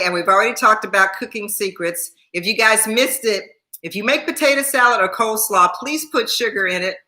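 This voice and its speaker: A woman's voice: medium pitch, pushed and bright, roomy sound. A woman in her sixties chatting casually on a livestream, repeating herself and laughing often while thanking viewers by name. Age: 60s. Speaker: YouTube host.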